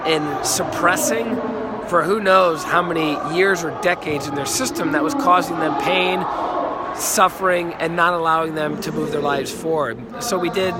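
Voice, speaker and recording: A man, hoarse from a cold, chatting enthusiastically on a live stream and repeating words as he talks. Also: fairly high pitch, very noisy. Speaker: life coach and healer. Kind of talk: casual live stream monologue. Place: outdoors in a city. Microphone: phone.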